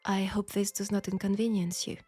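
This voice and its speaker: A woman's voice: medium pitch, flat delivery, brisk, dark tone, husky, soft background music, lightly acted. A woman with a French accent playing a polite, formal, self-assured seamstress in a steampunk audio roleplay drama. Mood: polite, composed. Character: proud, outspoken, formal young seamstress in steampunk Paris.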